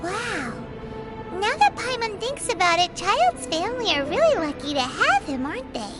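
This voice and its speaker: A woman voicing a tiny, childlike fairy guide in a video game, chirpy, bossy and excitable. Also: very high voice, moderate pace, over loud music. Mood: surprised, thoughtful. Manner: excitable, speaks of self in third person.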